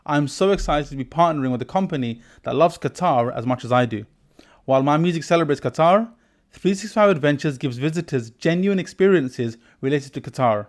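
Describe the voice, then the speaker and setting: A man's voice: fairly high pitch, animated, fairly quick. Thoughtful male podcast host. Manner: reflective, thoughtful conversational delivery. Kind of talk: podcast interview and sponsor read.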